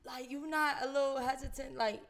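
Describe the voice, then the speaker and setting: A woman co-hosting a podcast, upbeat and quick with short agreeing remarks. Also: sits high, fairly even intonation, over a noisy crowd. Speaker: podcast co-host. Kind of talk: casual podcast interview.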